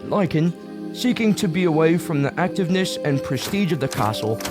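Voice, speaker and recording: A man's voice: fairly high pitch, lively intonation, brisk. A man narrating a scripted fantasy audio drama as a storyteller. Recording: prominent music bed behind.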